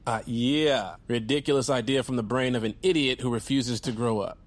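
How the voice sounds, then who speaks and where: A man's voice: medium pitch, normal speed, close to an everyday voice. An actor playing an awkward, flustered young man in an audio comedy drama, earnest and defensive with a stammering, hesitant delivery. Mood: indignant. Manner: stammering, hesitant, excitable when provoked.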